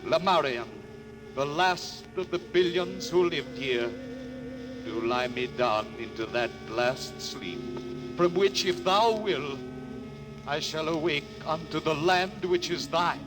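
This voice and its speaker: An actor in a 1950s radio drama playing the solemn last survivor of an ancient microscopic race, speaking slowly like a ritual farewell. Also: male voice, on the high side. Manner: slow, grave, chanting like a ritual farewell. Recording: old radio broadcast over music.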